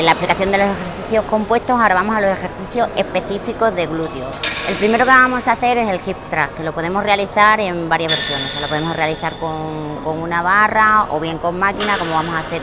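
A woman's voice: on the low side, a little fast, hardly any pauses. Woman trainer explaining gym exercises, lapel mic. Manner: relaxed instructive, frequent fillers and repeated words. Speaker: fitness trainer. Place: large indoor gym. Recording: music playing behind the voice. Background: background music.

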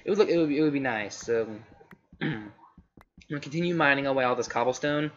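A man's voice: mid-range voice, fairly quick. A young man giving casual, rambling commentary while playing a game, his voice a little scratchy, often correcting himself mid-sentence. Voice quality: slightly scratchy. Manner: rambling, self-correcting, chatty. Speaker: gaming let's play host. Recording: slightly dull home recording with faint noise.

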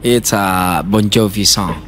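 Deep-voiced soft-spoken man. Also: slow, over a noisy crowd. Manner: soft-spoken, holds back his voice. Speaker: stranger on a video chat. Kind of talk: casual video chat.

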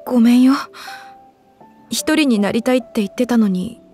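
A woman's voice: fairly high pitch, normal speed, mellow, close to an everyday voice. Anime game drama: actress as a tomboyish, protective young woman idol, tender and worried, faint music underneath.

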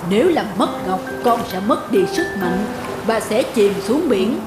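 An actor playing an ancient, benevolent male sea god in an animated folktale, speaking solemnly and instructively in measured phrases. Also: mid-range voice, lightly acted. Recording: echoing voice under loud music. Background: loud instrumental music.

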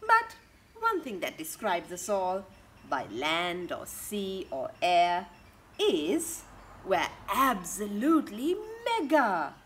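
Slow, expressive woman reading to children. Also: medium pitch. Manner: expressive, addresses children with questions. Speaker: storyteller for young children. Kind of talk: interactive picture-book read-aloud. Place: outdoors in a home garden. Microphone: camera a couple of metres away. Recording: outdoor ambient garden noise.

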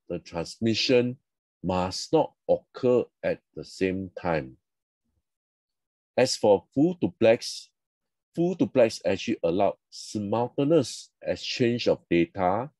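A man with a low, mellow voice narrates a slide lecture in short, explanatory phrases. His English has non-native phrasing. The recording is dry and close. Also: dry recording. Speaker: instructor.

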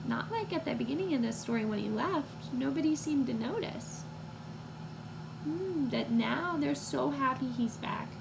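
A woman in her twenties reading a picture book to young children, expressive and teacherly, pausing to put questions to listeners. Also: high voice. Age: 20s. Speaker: read-aloud storyteller for young children. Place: small room at home, indoors. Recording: clear background noise, home recording.

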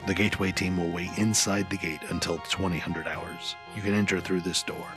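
A man's voice: sits low, steady tone, fairly quick, music in the background, natural voice. A man voicing a commanding, formal army general in a video game fan dub, giving orders precisely.

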